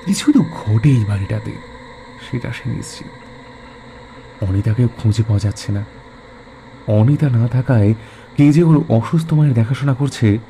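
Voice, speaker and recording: A man's voice: medium pitch, moderate pace, long pauses, warm tone, a little hiss or hum, poor audio. A man narrating a scripted suspense story in a dramatic storytelling style.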